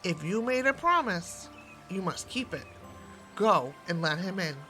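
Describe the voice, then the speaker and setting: A fatherly storybook king, acted by a woman reader, stern and measured. The delivery is authoritative, with music playing behind the voice. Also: on the low side, sing-song, bright, forceful tone, full character voice. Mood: firm.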